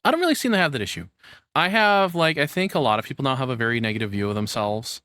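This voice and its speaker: A man's voice: medium pitch, lively intonation, fast talker, bright, forceful tone, dry recording, clean studio sound. A young man giving casual reaction commentary as a streamer, rambling and frank with some swearing.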